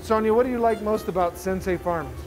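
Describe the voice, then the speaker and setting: A man's voice: high-pitched, fairly quick, a little mellow, low-quality recording. A middle-aged man chatting casually as a cooking show co-host and asking questions. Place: studio show kitchen. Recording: clear music behind, sizzling.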